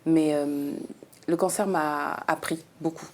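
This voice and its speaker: A woman from the Democratic Republic of the Congo, a businesswoman answering interview questions in a calm, earnest way with frequent hesitations. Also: low voice, takes it slowly. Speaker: guest, businesswoman. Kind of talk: conversational interview.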